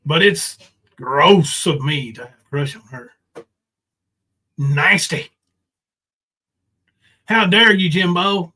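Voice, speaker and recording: A middle-aged man with a somewhat high voice talks to his livestream audience. He is loose and informal, speaking off the cuff with rough, sweary language. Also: takes it slowly, long pauses, sharp tone. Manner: informal, foul-mouthed. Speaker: livestream host. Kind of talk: casual livestream chat.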